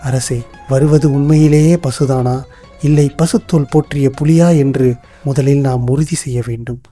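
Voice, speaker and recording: Man playing a very dark-voiced royal minister. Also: mid-range voice, steady tone, natural voice. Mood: cautious. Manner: formal, measured, literary court speech. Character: loyal, cautious royal minister to the king. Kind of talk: animated folktale acting. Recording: music under the voice.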